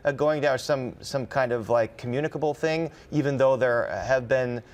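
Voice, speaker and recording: Male American reporter, medium register, informal analysis style, halting delivery as he thinks aloud. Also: mid-range voice, steady tone. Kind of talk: conversational news analysis. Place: TV studio.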